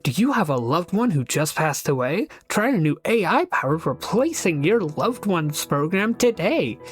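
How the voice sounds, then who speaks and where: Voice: mid-range voice, big pitch swings, normal speed, pitched above the performer's own voice, lightly acted. A performer voicing a chirpy corporate advertisement notification with a scripted, salesy delivery. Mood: chirpy pitch.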